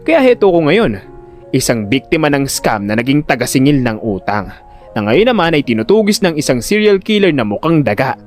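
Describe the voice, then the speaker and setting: A man narrating a first-person audio drama in an expressive, conversational storytelling manner. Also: medium pitch, brisk, faint music behind.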